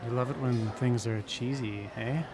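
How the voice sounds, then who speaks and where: An actor in a radio drama playing a cheeky, teasing restaurant waiter. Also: male voice, mid-range voice, steady tone, normal speed, music in the background, natural voice.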